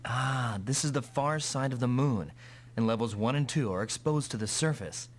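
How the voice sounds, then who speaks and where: An actor playing a calm, determined male mech pilot in an anime-style English video game dub. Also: medium pitch, normal speed, a little hiss or hum, close to an everyday voice. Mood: calm, matter-of-fact.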